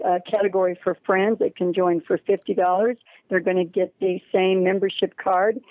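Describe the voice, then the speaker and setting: A woman's voice: on the low side, no room sound. A woman interviewed as a charity representative, explaining things over a narrow phone line.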